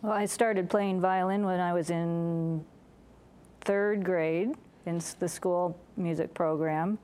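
New woman, lowish voice, matter-of-fact, talking about her music teaching. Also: fairly even intonation, slow pace, warm tone. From Central New York. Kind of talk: casual interview answers.

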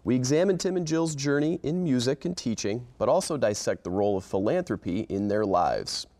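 A man hosting a community podcast, reading a scripted intro and then interviewing guests in a friendly, measured way. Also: mid-range voice, expressive, darkish tone. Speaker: podcast host.

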